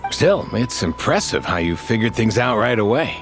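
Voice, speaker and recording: A man playing a composed, courteous male companion in a video game dub, speaking in a measured, polite way. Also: lowish voice, sing-song, over music. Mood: calm, approving.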